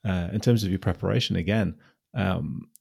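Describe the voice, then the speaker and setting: Deep husky man, hesitant interviewer. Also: moderate pace, darkish tone. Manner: hesitant, many restarts and ums. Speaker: interviewer host. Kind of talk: interview questions.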